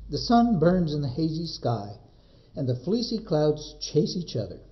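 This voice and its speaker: A man reading a children's book as a librarian, in expressive narration with dramatic, measured phrasing. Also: a little high, highs cut off.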